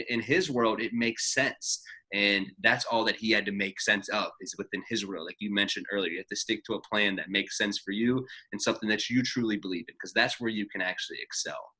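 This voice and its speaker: A man's voice: fairly low pitch, fairly even intonation, fast talker, pushed and bright, rough recording. A man in his late twenties co-hosting a podcast, rambling casually with frequent swearing and fillers. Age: 20s. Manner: rambling, profane, lots of fillers. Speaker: podcast co-host, gym owner. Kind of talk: casual chat.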